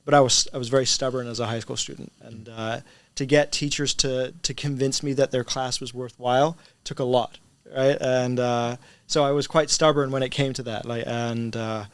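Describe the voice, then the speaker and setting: Middle-aged male teacher in a casual interview: hybrid international English accent, crisp resonant tone, very dry recording, frequent "right?" check-ins. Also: mid-range voice, steady tone, normal speed, hardly any pauses, no room sound. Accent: mixed English, American and international. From Spain. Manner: conversational, often checks with "right?".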